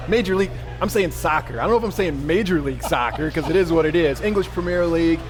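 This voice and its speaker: A man's voice: a little high, animated, fast. A man in his thirties giving analysis on a sports talk panel in a sports bar. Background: music.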